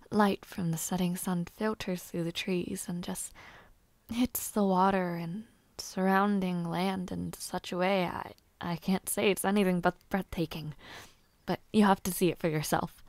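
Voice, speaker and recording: A woman's voice: lowish voice, steady tone, unhurried, darkish tone, no echo. A woman playing an eager, playfully bossy young noblewoman who hopes to be a knight, in an intimate audio roleplay, with formal old-fashioned phrasing and little hesitations. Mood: cheerful, fond.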